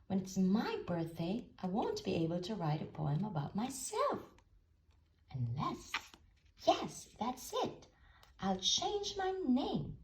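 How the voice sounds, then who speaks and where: A woman's voice: medium pitch, wildly swooping pitch, slow pace, voice pushed up. A woman voicing a cheerful, forgetful young male pig poet from a children's storybook, earnest and exclamatory, sing-song when reciting. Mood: dismayed, then eager. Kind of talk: children's storybook character reading.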